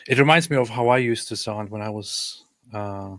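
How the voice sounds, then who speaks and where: A man hosting an interview, upbeat and chatty, with quick asides. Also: fairly low pitch, fairly bright tone, no room sound, clean studio sound. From Germany, Baden-Württemberg. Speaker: host. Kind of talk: interview host.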